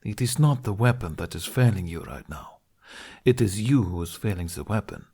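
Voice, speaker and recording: A man's voice: lowish voice, animated, normal speed, mellow, breathy edge. A man voicing a stern, earnest older Hungarian archery mentor in an audiobook, with solemn, formal phrasing.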